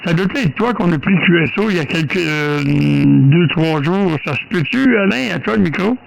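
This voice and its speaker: A man's voice: high voice, lively intonation, normal speed, gravelly, a little hiss or hum, low-quality recording. An older man with a Quebec French accent chatting casually as an amateur radio operator, often repeating himself. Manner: repeats words, English exclamations. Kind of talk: casual two-way radio chat.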